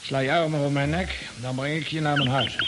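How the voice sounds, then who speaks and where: An actor playing a brisk, kindly man at a remote cattle station in a radio drama. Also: a little high, steady tone, very noisy, close to an everyday voice. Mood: caring. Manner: brisk, practical, kindly gruff. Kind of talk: 1950s radio drama acting.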